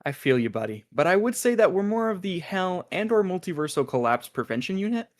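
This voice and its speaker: A man's voice: sits high, very animated, a little fast. A man playing an easygoing, teasing agent with a wry, placating manner, in an audio drama table read. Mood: friendly placating. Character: easygoing, chummy male agent, teasing coworker.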